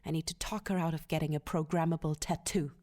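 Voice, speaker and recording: A woman acts out a middle-aged female crime boss in an audio drama. Her voice is low and cold. She speaks in curt, commanding orders. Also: fairly even intonation, quick pace, noisy background, lightly acted. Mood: stern, impatient.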